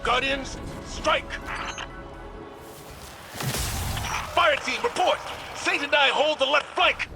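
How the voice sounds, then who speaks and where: An actor playing a resolute military commander in a video game, giving authoritative, clipped orders. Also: male voice, extremely high pitch, unhurried, vocal fry, exaggerated voice. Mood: urgent, shouting orders. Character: resolute male commander leading a fire team. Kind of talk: dramatic video game voice acting. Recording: game dialogue under loud music.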